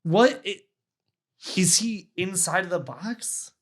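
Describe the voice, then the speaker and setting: A man's voice: sits high, expressive, slow pace, full character voice. Bewildered male friend, acted by a man at a table read, incredulous and exasperated, sketch comedy. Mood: incredulous, angry. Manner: incredulous questioning.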